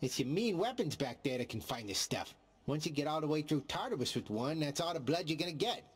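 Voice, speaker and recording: An actor playing a chatty, folksy undead skeleton in a video game, with a raspy voice and slangy wise-guy talk. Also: a little high, big pitch swings, fairly quick. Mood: chummy. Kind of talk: scripted video game voice acting.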